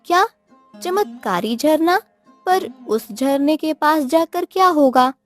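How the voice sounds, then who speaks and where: An eager young village wife played by a woman storyteller in a cartoon tale. Her voice is pitched high and full of surprised questions and exclamations. Music plays softly behind the dry recording. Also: lively intonation, slow, no echo. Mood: puzzled. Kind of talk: cartoon moral story acting.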